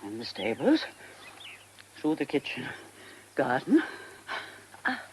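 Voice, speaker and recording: A slow, theatrical faded diva. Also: female voice, a bass voice, huge pitch swings, darkish tone, a little hiss or hum, heavily acted. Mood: nostalgic. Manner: theatrical, halting, breathy muttering to herself. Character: faded theatrical diva, wistful, eccentric, talking to herself. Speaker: actress. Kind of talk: radio drama monologue.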